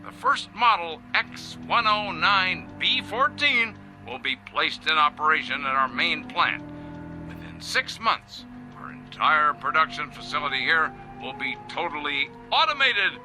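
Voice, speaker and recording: An actor playing a pompous, self-satisfied factory boss in a radio drama, presenting to shareholders with a showy salesman's delivery and grand pauses. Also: on the high side, expressive, over music. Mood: gloating, triumphant. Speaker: company head addressing shareholders. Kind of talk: radio drama acting as a corporate video presentation.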